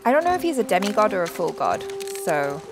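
A woman's voice: mid-range voice, very animated, fast. A young adult woman giving casual reaction commentary as a show host. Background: game soundtrack music.

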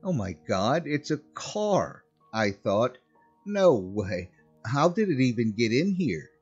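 Man, horror story narration, scripted first-person reading, mid-pitched voice, faint music behind. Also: a little mellow, husky, muffled recording. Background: background music.

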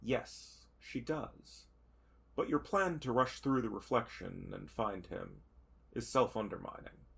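A man voicing a cryptic prophet of a dark god in a tabletop game, formal, oracular and terse. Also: medium pitch, expressive, slow pace, gravelly, slightly rough, full character voice. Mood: solemn.

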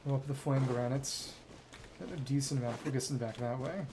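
A man's voice: mid-range voice, fairly quick, background noise. A man streaming a video game, giving casual live commentary in short fragments, muttered to himself.

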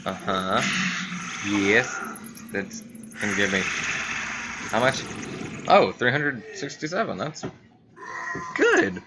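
Slow-talking man gaming, noisy recording. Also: on the low side, very animated. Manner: rambling, talks to viewers and himself. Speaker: gamer commentating own gameplay. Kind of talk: casual gameplay commentary. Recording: clearly noisy amateur recording.

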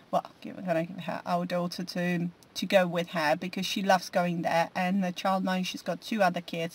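A woman's voice: mid-range voice, rough recording. Woman in her forties, casual vlog chat, rambling, many ums, recorded in a car. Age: 40s. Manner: rambling, many fillers and restarts. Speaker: vlogger. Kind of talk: casual food diary chat. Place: inside a parked car.

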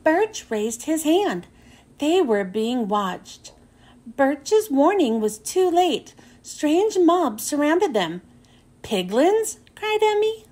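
A woman's voice: high voice, big pitch swings, slow, a little mellow. A woman reads a children's storybook aloud, phrasing carefully and expressively for young listeners. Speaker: children's book reader and host.

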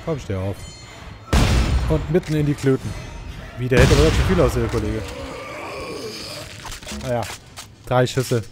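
A man's voice: medium pitch, a little slow, long pauses, over loud music. A man giving casual, sarcastic gameplay commentary, grumbling and talking half to himself. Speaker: let's play host. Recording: clear game noise behind the voice.

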